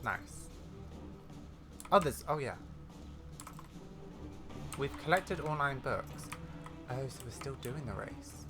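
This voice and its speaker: A gaming video host giving casual, excitable, self-deprecating commentary while playing. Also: mid-range voice, takes it slowly, long pauses. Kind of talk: casual gameplay commentary, reading game dialogue aloud. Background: music behind the voice.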